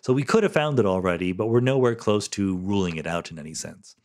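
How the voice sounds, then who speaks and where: A man's voice: fairly low pitch, lively intonation, quick pace, darkish tone. A middle-aged man hosting a podcast, answering listener questions in a thoughtful, explanatory solo monologue, often checking in with "right?".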